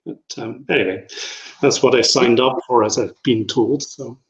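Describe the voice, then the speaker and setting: A man's voice: medium pitch, moderate pace. Scottish man in his fifties, interview guest with a wry, meandering delivery, echoey dull call audio. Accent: Scottish, Edinburgh. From Scotland. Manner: rambling, self-deprecating, frequent ums. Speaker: interview guest, travel company director. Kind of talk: casual conversational interview. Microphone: video call.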